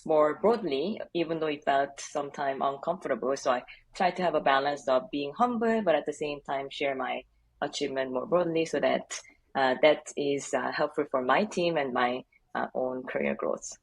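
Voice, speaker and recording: A woman from Korea with a Korean accent, a guest answering interview questions in an earnest, measured way with light laughs. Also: low-pitched, husky, low-quality recording. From South Korea. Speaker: HR leader, podcast guest.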